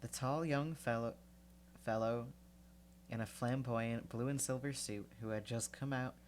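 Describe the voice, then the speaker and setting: A man's voice: mid-range voice, a little slow, a little hiss or hum. A man reading a novel aloud on a livestream, stuffed up from a cold, breaking off for casual, chatty asides full of fillers. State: congested from a cold.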